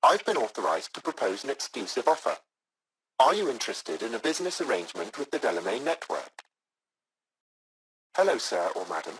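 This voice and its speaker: An actor playing a courteous AI subroutine of a taxi company in a video game, speaking with formal, measured customer-service politeness. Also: male voice, medium pitch, big pitch swings, a bit bright, a little hiss or hum, low-quality recording. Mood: official, courteous.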